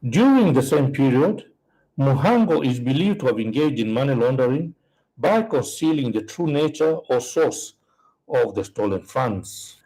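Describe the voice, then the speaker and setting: Guy reading an official statement, husky mellow voice, formal and deliberate. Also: mid-range voice, expressive, poor audio.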